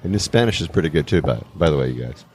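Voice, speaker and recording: A man's voice: rumbling low, expressive, very fast, darkish tone, a little hiss or hum. A man hosting a round-table talk, steering the guests with playful, joking interjections. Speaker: host. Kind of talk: casual round-table chat.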